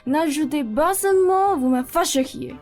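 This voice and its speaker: A woman acts a wealthy society hostess in a radio comedy. Her voice is high and unhurried, full of grand, gracious phrases and theatrical sighs. Soft music sits behind her. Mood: chatty, gracious. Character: rich, effusive aristocratic lady, bored and scheming. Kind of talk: student radio play acting.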